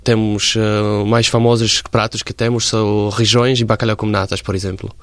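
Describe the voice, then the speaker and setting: A man in his forties from Slovakia, speaking Portuguese with a Slovak accent as a radio interview guest, pausing often to search for words. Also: fairly low pitch, flat delivery, a bit bright, no echo, clean studio sound. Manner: halting, searching for words in a second language. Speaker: interview guest, former footballer.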